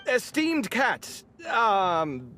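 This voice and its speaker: An actor playing an excitable, polite and easily flustered young adventurer in a video game. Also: mid-range voice, very slow, heavily acted. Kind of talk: English video game voice acting. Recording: background music behind the voice.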